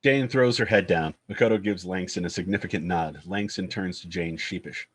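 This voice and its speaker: A man's voice: low voice, moderate pace, husky. A man narrating screenplay stage directions at a table read.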